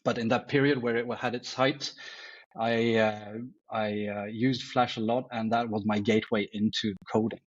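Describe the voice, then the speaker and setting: A man's voice: fairly low pitch, fairly even intonation, moderate pace, lo-fi sound. A man in his forties with a Norwegian accent, a guest artist answering in a casual interview, hesitant with many restarts. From Norway.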